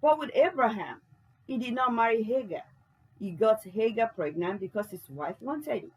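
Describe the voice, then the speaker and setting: A woman's voice: a little high, lively intonation, normal speed, a little mellow, breathy edge, poor audio. A woman reading a reflective male narrator in a stage play, thoughtful and questioning.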